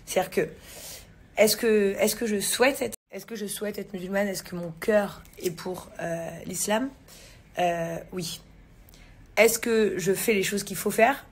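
Chatty husky woman vlogging to her phone. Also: mid-range voice, unhurried. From England. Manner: chatty, many fillers and restarts, youthful slang. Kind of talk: casual chat to followers. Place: large bright living room indoors. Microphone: phone camera at arm's length.

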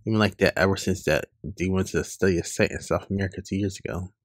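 A man reading webcomic dialogue aloud in an informal way, loosely acting out the lines, with a gravelly, husky voice. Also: sits low, fast, no echo, clean studio sound. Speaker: online comic reader.

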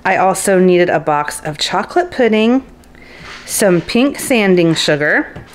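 A woman's voice: lowish voice, animated, measured pace, a little hiss or hum. A woman in her thirties chatting casually through a grocery haul vlog at home, upbeat and friendly, with little laughs and fillers. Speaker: vlogger. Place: home kitchen and living room.

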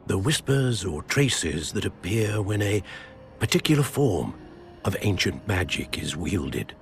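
Low-voiced, expressive male wizard professor. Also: gravelly, faint music behind, exaggerated voice. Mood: earnest, instructive. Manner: formal, measured. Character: measured, mentoring male wizard professor in a period setting. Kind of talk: dramatic game cutscene dialogue.

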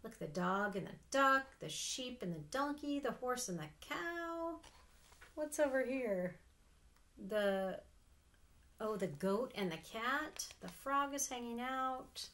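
A middle-aged woman reading a picture book aloud to children, expressive and sing-song, with casual asides. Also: a little high, takes it slowly. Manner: expressive, sing-song, asking the listeners questions. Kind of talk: animated read-aloud storytelling with casual asides. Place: small room at home with sloped ceiling.

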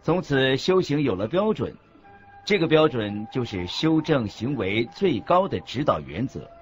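A man's voice: medium pitch, big pitch swings, a little slow. A man reading a scripted religious teaching as the narrator of a radio lecture. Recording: dull lo-fi audio with background music.

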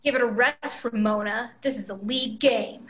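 A woman's voice: on the high side, moderate pace, fairly bright tone, close to an everyday voice. A woman playing a fierce, taunting female villain in a table read over a video call. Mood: scornful, menacing.

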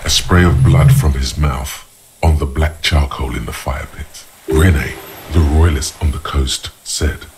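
An actor playing the brooding inner voice of a detective in a video game, narrating in a measured, ominous, gravelly way. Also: male voice, very deep voice, steady tone, a little hiss or hum. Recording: loud music behind the voice. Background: music.

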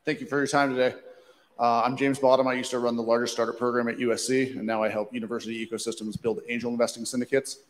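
Guy in audience rattling off his q fast, flat tone, hall echo. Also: medium pitch. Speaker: audience member asking a question. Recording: long hall reverb.

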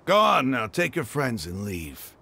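Cocky desert mercenary chief, video game, gravelly, bright forceful tone, taunting. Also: male voice, mid-range voice, wildly swooping pitch, unhurried, a little hiss or hum, exaggerated voice. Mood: grudging respect. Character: cocky, hardened male desert mercenary leader.

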